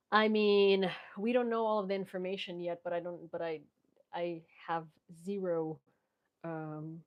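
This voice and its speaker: Woman, Ukrainian accent, young adult, lowish pitch, casual livestream chat, frank. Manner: chatty, many fillers and restarts, frank opinions. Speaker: livestream host. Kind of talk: casual livestream chat reading viewer questions. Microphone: headphones.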